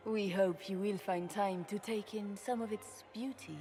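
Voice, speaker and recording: A woman's voice: mid-range voice, steady tone, a little slow, mellow, lightly acted. Lady as a fantasy game empress, poised and courtly, middle voice, bit of music behind. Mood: gracious, regal. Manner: stately, courtly. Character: poised, gracious empress, formal and regal.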